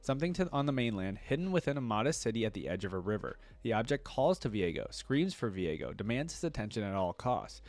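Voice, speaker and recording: A man's voice: on the low side, big pitch swings, fast talker, few pauses, a little mellow. A man hosting a reaction video, reading story text aloud and adding casual commentary.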